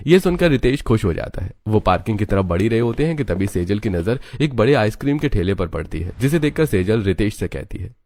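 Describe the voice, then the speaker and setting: A man's voice: on the low side, very animated, brisk, few pauses, darkish tone. A man narrating a scripted story as the narrator of a cartoon. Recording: music under the voice.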